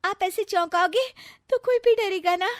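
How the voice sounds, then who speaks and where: A woman's voice: very high voice, normal speed, dry recording, clean studio sound, pitched above the performer's own voice. A woman voicing a timid, aggrieved but playfully scheming young sister-in-law in a comic family story. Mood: indignant.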